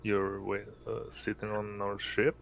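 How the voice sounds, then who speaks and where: A man's voice: on the low side, monotone, measured pace, vocal fry. A man playing a tabletop role-playing game, speaking casually and hesitantly as he searches for words. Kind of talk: casual improvised role-play.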